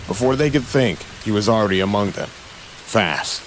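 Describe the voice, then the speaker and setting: A man's voice: fairly low pitch, lively intonation, normal speed, very noisy. A man narrating an audio drama in a suspenseful, dramatic scripted style, with short punchy phrases.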